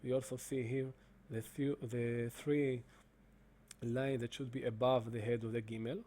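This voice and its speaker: Guy walking through his software, soft dark voice, lots of uh's and restarts. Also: medium pitch, flat delivery. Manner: halting, many fillers and restarts. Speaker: presenter demonstrating software. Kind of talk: screen-recorded explanation.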